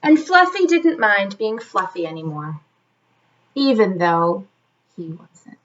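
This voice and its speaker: A woman's voice: mid-range voice, lively intonation, takes it slowly, fairly bright tone, lo-fi sound. A woman reading a children's story aloud expressively, like a teacher, adding chatty asides straight to her young listeners.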